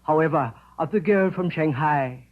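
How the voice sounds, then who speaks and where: A man plays a suave Chinese dealer from a vintage radio mystery. His voice sits fairly high for a man, and he speaks in courtly, ceremonious, flowery phrases. The sound is dull and aged. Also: moderate pace, natural voice. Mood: precise, businesslike. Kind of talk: 1940s-50s radio mystery acting. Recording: dull old-time radio recording.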